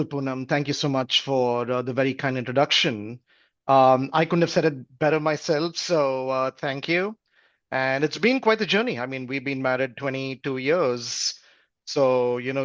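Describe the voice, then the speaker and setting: A man in his forties, originally from Malaysia, speaks as a podcast guest in a reflective, unhurried way, often pausing with fillers. The recording is slightly dull and lo-fi, with a dry, close sound. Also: medium pitch, a bit bright, no room sound. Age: 40s. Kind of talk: casual interview talk.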